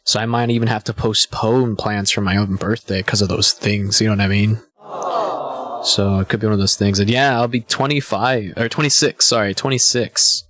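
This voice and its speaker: A man's voice: on the low side, fairly even intonation, normal speed, a bit bright. A man in his twenties chatting casually to his live stream viewers, a bit hungover, rambling and laid-back with lots of "man" and "you know.". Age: 20s. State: a little hungover.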